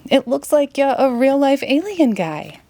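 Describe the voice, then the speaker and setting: A woman with a Boston accent voicing excited, eager children in monster costumes in a storybook. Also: unhurried, slight background noise, heavily acted. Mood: amazed, friendly. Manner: high, eager, exclaiming. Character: excited trick-or-treating children dressed as monsters.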